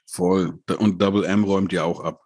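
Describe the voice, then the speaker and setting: A man co-hosting a casual rap review chat, relaxed and laughing often, who grew up bilingual. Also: low voice, normal speed, slightly rough, no echo, clean studio sound. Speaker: podcast co-host reviewing rap releases.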